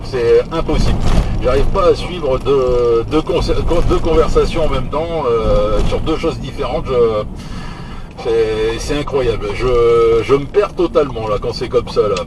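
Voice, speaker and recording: A man chatting casually on amateur radio, hesitating and often repeating his words and phrases. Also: unhurried. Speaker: amateur radio operator hosting a radio contact. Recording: noisy radio audio, vehicle rumble, distant mic.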